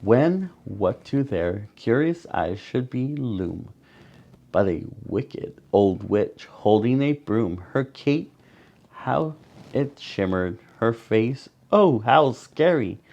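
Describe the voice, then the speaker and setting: A man reading a picture book aloud to children as a storyteller, with dramatic pauses and asides to his young listeners. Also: medium pitch, lively intonation, a little mellow, lo-fi sound.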